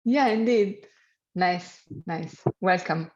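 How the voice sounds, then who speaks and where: A woman with an Italian accent, a native Italian speaker, hosting an online webinar in halting speech with many fillers and light jokes. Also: lowish voice, darkish tone. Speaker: webinar host and program manager. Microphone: video call. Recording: lo-fi video call audio.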